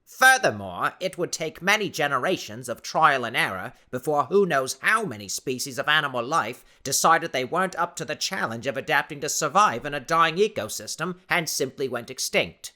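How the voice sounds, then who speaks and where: Man voicing an unflappable, learned explorer, bright-toned. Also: a little high, expressive, normal speed, hardly any pauses, pitched above the performer's own voice. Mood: dry explaining. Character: big, unflappable male explorer, learned and matter-of-fact.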